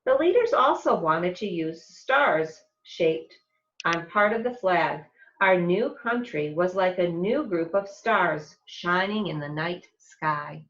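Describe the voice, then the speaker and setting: A slightly muffled, roomy recording of an American woman reading to young children. She takes her time and speaks like a teacher, with lively, expressive pitch and careful explanations. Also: slow. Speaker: teacher reading aloud to second graders. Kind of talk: read-aloud of a children's picture book.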